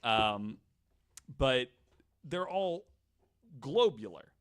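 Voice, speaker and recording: Male podcast host, mid-pitched voice, casual storyteller with an irreverent, sweary streak and stammered restarts. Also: sing-song, drawn-out words, a bit bright, clean tone. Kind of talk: casual storytelling chat, sometimes reading quotes aloud.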